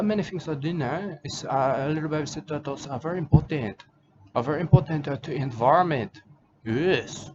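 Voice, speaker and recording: A man's voice: fairly high pitch, close to an everyday voice. A man voicing a boastful, rambling Australian wildlife-loving bloke with an Australian accent, in broken English, saying "mate" constantly. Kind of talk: comedy character monologue. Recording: muffled lo-fi with steady background noise.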